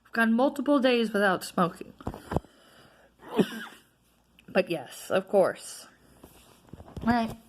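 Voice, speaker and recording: A woman vlogger with a naturally loud voice, venting in a casual, frustrated rant that is full of tag questions. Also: on the high side, lively intonation, slow pace, long pauses, noisy background. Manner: frustrated, ranting, frequent "right?" tags.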